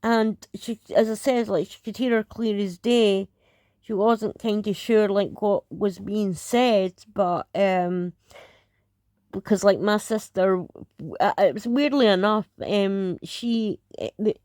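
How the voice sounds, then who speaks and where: Edinburgh scottish lass reacting to a vid, slow, lots of ums and restarts. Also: female voice, mid-range voice, steady tone, darkish tone, no room sound, studio quality. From Scotland. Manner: rambling, many fillers and restarts. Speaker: YouTuber reacting to videos. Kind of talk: casual reaction commentary.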